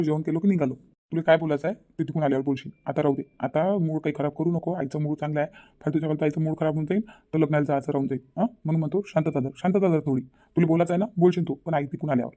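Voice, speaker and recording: A man's voice: fairly high pitch, steady tone, racing, mellow, close to an everyday voice. A man playing a placating, mildly stern son and husband with a Varhadi accent in a cartoon family drama. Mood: placating, firm. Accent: rural Maharashtra, Varhadi. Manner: repetitive, placating, firm.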